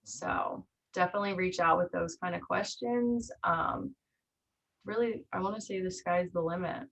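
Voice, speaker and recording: A woman with a fairly low voice and plenty of vocal fry co-hosts a casual online team meeting. She talks informally and encouragingly. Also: moderate pace, a bit bright. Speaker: real estate trainer co-hosting a meeting.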